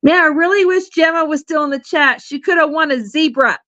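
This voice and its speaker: A woman's voice: high voice, brisk, fairly bright tone, no echo, dull audio. Chatty woman hosting a livestream, fading voice. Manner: chatty, many fillers and restarts. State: losing her voice. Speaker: livestream host. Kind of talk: casual livestream chat.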